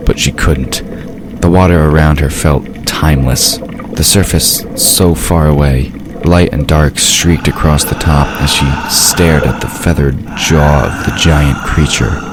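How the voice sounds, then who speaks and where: A man narrating a scripted fantasy audio drama in a measured storytelling manner. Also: very deep voice. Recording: sound effects noise behind voice. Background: ambient sound effects.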